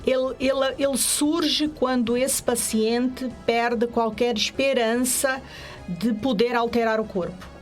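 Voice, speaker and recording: Radio guest: Portuguese-accented woman psychologist, slightly high voice, measured and hesitant. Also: fairly even intonation, soft background music. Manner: measured, explanatory, with hesitant fillers. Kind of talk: radio interview.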